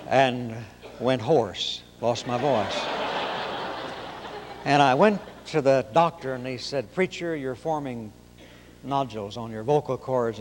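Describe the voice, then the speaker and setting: A man from Oklahoma with a high-pitched nasal voice, preaching a sermon in folksy storytelling fashion. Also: long reverb tail, noisy background. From Oklahoma, raised in Arkansas.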